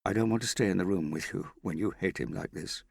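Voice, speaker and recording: A distraught young woman begs and pleads, her voice lifted high and tight, as a man reads her part. The delivery sits close and dry, with a husky edge underneath. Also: fairly quick, no room sound, exaggerated voice. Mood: upset. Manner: anxious, pleading.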